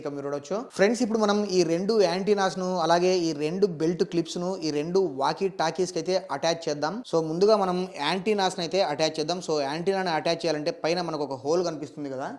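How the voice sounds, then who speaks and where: A man's voice: a little high, a little mellow. A man narrating an unboxing and review, explaining things step by step as the host.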